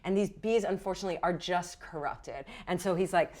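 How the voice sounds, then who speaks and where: A woman improvising narration as a game master in a casual, animated, rambling way. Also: lowish voice, brisk. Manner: animated, rambling, acts out characters' lines.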